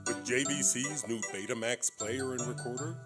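A middle-aged man serves as announcer for a live radio-style show. His delivery is scripted and presentational, with loud music playing behind it. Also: mid-range voice, moderate pace. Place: small indoor stage with live audience. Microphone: studio condenser mic on stand.

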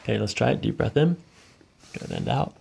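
A middle-aged man working as a chiropractor, calmly guiding a patient in short, reassuring phrases in an ASMR style. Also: medium pitch, a little fast, gravelly, slight background noise. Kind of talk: calm hands-on ASMR treatment talk, instructing a patient. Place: small carpeted treatment office, indoors. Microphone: microphones on boom stands near the table.

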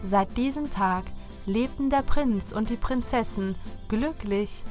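A woman's voice: on the high side, takes it slowly, darkish tone, faint music behind. A woman narrator reading a scripted fairy tale for children.

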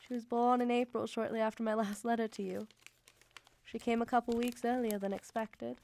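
Radio drama: devoted young Victorian mother, slightly high voice, emotive and intimate letter reading. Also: level tone, a little fast, vocal fry, a little mellow, slight background noise. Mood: tender, weary.

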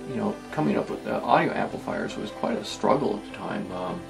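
A man giving a casual hands-on demonstration, talking in a halting, rambling, self-deprecating way. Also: on the low side, lively intonation, gravelly, over music. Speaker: host of a vintage electronics video. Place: indoor electronics workbench.

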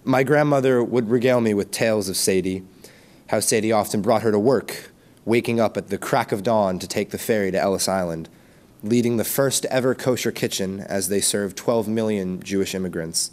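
A man's voice: fairly low pitch, moderate pace, darkish tone. A teenage boy reciting a narrative poem as a student at a podium microphone. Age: teens. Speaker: student poet. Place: school stage at a podium. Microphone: gooseneck podium microphone.

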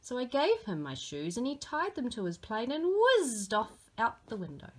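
A woman's voice: on the high side, wildly swooping pitch, moderate pace. A woman voicing a small, earnest, imaginative girl who tells tall tales in a dramatic storybook manner.

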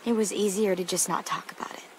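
A woman playing a guarded, guilt-ridden teenage girl survivor in a video game, subdued, halting and sardonic. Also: medium pitch, steady tone, a little hiss or hum, lightly acted, rough recording. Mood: guilty, regretful. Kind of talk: naturalistic video game drama acting. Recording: clear outdoor nature ambience behind.

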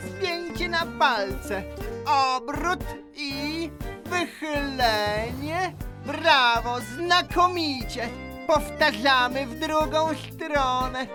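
A woman's voice: high-pitched, extremely slow, over music. A woman voicing an exuberant, encouraging dance teacher calling out instructions in a children's audio tale. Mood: encouraging, commanding.

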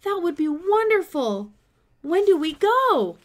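A woman's voice: very high voice, sing-song, takes it slowly, pitched above the performer's own voice, heavily acted. A woman voicing an eager, earnest boy in a storybook read-aloud, exclaiming as she goes. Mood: excited. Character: eager, excitable boy from the country.

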